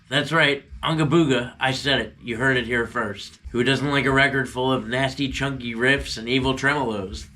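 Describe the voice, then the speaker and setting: Sniffly man with a bright, forceful voice. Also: medium pitch. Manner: wry, joking. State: sniffly from a cold. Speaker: album review co-host. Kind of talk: casual album review chat.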